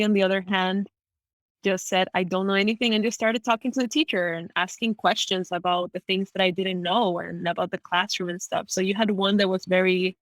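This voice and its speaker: A woman from Ecuador, a native Spanish speaker with an American accent, talks as a podcast guest. Her pitch sits in the middle for a woman and the recording is dry. She tells stories in a spontaneous, conversational way. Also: no echo, low-quality recording. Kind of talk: conversational storytelling in an interview.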